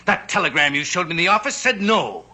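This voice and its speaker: An actor playing an indignant, blustering army general in a mid-century television western. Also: male voice, high-pitched, very animated, quick pace, pushed and bright, heavily acted.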